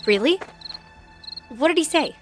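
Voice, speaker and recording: A headstrong young leader of a sci-fi crew, with a fairly high voice. She is direct and insistent, and music plays clearly behind her. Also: lively intonation, a little slow. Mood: surprised. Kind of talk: audio drama acting.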